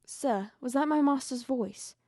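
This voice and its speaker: High-voiced, anxious servant woman played by an actress. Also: unhurried, darkish tone. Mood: agitated. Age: young adult. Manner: earnest, deferential, agitated. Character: frightened, insistent loyal female household servant. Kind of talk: audio drama acting.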